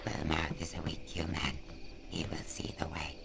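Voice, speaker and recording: A sinister alien monster, extremely deep and creaking. It commands calmly and with contempt for humans, in a radio play with faint noise behind it. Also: male voice, normal speed, exaggerated voice. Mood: cold, plotting. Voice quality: very deep gravelly voice. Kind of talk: radio drama acting.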